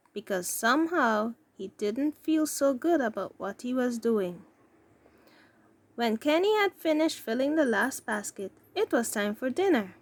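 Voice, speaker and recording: A woman reading a children's story aloud as narrator, with deliberate, careful phrasing. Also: sits high. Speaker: story reader.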